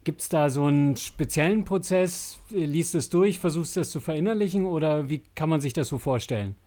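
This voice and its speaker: A man's voice: on the high side, moderate pace, dark tone. A man hosting a podcast, welcoming and questioning a guest in casual conversation. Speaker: podcast co-host. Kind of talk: casual chat, interviewing.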